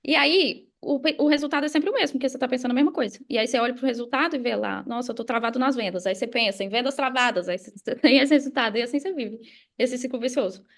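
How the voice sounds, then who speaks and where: High-voiced Brazilian woman with creaky edges. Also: very fast, a bit bright. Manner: emphatic, repeats key phrases, uses examples and acted quotes. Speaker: podcast guest, affiliate marketer and mindset coach. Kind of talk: casual motivational explaining on a podcast.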